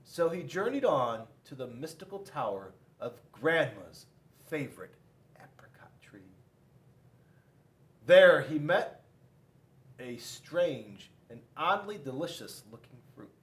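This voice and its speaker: A man reading a children's storybook aloud in an animated way, with dramatic pauses and sudden emphasis. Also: on the high side. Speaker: police school resource officer reading to children. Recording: echoey room.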